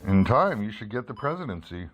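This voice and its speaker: A man's voice: fairly low pitch, sing-song, a little fast, a bit bright, poor audio. A man playing a persistent investigative reporter in an old-time radio drama, matter-of-fact and clipped with a sardonic edge. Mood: dry, probing. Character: persistent, dry-witted male investigative newspaper reporter. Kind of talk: old-time radio drama, first-person narration and dialogue.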